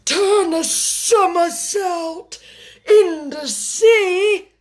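A woman's voice: high voice, pitched above the performer's own voice. A woman voicing a melancholy male turtle creature in a children's storybook, drawing out the words with wistful emotion. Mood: excited, wistful. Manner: slow, drawn-out, emotional delivery. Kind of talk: children's storybook character reading.

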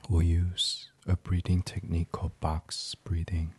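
A man leading a sleep meditation in an ASMR style, gentle and soothing, breathy and often half-whispered. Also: deep voice, completely flat delivery, a little slow. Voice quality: breathy, hushed. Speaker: guided meditation host.

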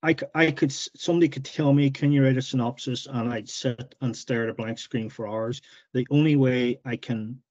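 A man's voice: medium pitch, a little fast, no room sound. Plain-spoken man on muffled call audio. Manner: relaxed, plain-spoken, with stumbles and repeats. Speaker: author being interviewed. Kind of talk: casual interview chat.

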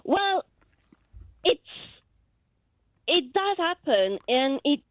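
A woman's voice: high-pitched, very animated, very slow, no echo. A woman caller with a French accent, a native French speaker who talks hesitantly and searches for her words. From France. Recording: phone line.

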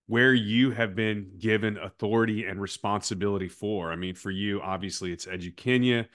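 A man's voice: lowish voice, normal speed, a bit bright. A man hosting a conversational interview, speaking in an earnest, motivational way. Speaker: podcast host and coach.

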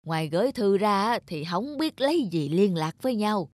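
A woman voicing a kindly, grateful old village grandmother in an animated folk tale, speaking in a homely, insistent, unhurried way. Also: mid-range voice, dry recording, studio quality, lightly acted. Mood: grateful, earnest.

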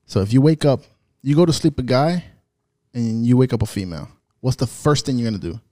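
A man hosting a casual chat who poses questions and jumps in with quick reactions. His voice sits at a medium pitch and is recorded dry with no room sound. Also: mellow, dry recording. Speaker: podcast co-host.

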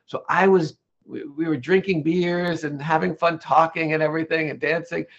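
Gravelly husky man on a call. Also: a little high, fairly even intonation, normal speed, a bit bright, lo-fi sound. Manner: thoughtful, frequent restarts and self-corrections. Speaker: interview guest, legal English lecturer. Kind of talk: relaxed conversational interview.

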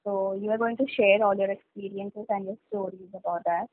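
A woman's voice: medium pitch, fairly even intonation, fairly quick, no room sound. Woman host on a tinny line. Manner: short prompting questions and acknowledgements. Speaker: podcast host. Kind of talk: casual interview. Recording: dry, tinny phone-like line.

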